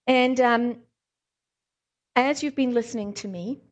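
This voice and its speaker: A woman's voice: a little high, slow pace. A middle-aged woman with a light Scottish and South African accent gives an informal sermon, reading scripture and telling stories with frequent ums and wry humor. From born in Scotland, raised in South Africa. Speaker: lay preacher, hand therapist. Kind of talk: conversational sermon with anecdotes.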